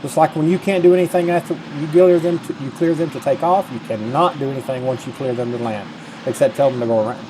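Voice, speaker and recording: A man's voice: mid-range voice, fairly even intonation, quick pace, background noise. A middle-aged man, casually commentating on gameplay and reading instructions aloud. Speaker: gaming video host. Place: indoors at a computer, webcam.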